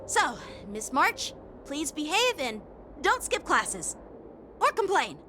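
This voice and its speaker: A woman's voice: high-pitched, sing-song, background noise, heavily acted. An actress voicing an earnest, bashful teenage boy swordsman in a game, speaking politely and a little formally. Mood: flustered, earnest. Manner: polite, formal, slightly halting. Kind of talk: game cutscene acting. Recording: prominent music behind the voice.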